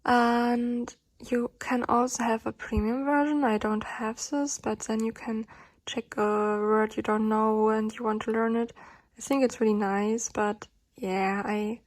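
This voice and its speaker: A woman's voice: fairly high pitch, little pitch movement, unhurried. A woman with a German accent, a native German speaker, explaining her study routine in a casual, hesitant way with many fillers. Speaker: language learner vlogger.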